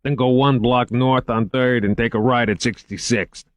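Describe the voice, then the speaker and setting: A man's voice: mid-range voice, steady tone, normal speed, dry recording, lo-fi sound, voice pushed up, lightly acted. A man doing an irritable police lieutenant. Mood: exasperated. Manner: brash, exasperated.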